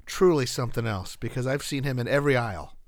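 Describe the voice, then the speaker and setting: A man's voice: mid-range voice, fast talker. A man co-hosting a casual studio talk show, joking around and asking the guest questions. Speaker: co-host.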